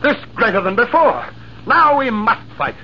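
An actor playing a proud, honour-bound army captain in old-time radio drama, with a formal, theatrical delivery. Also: male voice, very high voice, big pitch swings, moderate pace. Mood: furious, proud. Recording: dull, noisy 1940s-50s radio recording.

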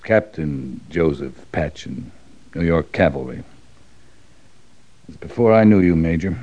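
Dull old-radio recording, grim cavalry officer. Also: male voice, a bass voice, animated, unhurried, dark tone, heavily acted. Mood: bitter, brooding. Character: hard, bitter middle-aged cavalry officer of the frontier. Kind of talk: old-time Western radio drama acting.

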